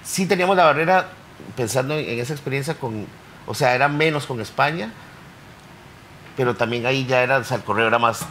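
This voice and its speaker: A man's voice: mid-range voice, brisk, fairly bright tone, a little hiss or hum. A man who teaches poetry workshops, talking hesitantly on a literature panel. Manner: hesitant, many fillers and restarts.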